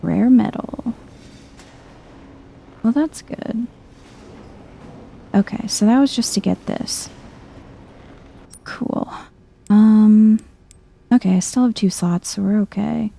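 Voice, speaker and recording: A soft-spoken woman giving chill, casual game commentary in an ASMR vibe, murmuring as if to herself. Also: mid-range voice, takes it slowly, gravelly, long pauses. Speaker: gameplay streamer.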